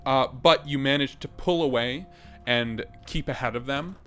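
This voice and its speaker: A man narrating as game master on a tabletop podcast, describing scenes in a halting, conversational way and calling for rolls. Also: mid-range voice, pushed and bright, smooth, soft background music. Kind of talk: improvised game narration and rules talk.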